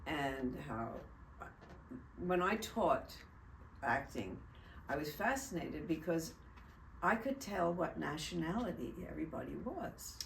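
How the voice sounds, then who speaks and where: An older actress hosting a casual interview, musing slowly about acting with a swooping voice. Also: female voice, sits low, vocal fry, slight background noise. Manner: slow, musing, lively swoops. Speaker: host and actress. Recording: echoey, distant from mic.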